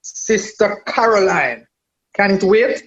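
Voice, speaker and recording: Lively-pitched peacemaking male pastor. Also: slow, highs cut off, full character voice. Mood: firm. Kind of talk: radio drama acting.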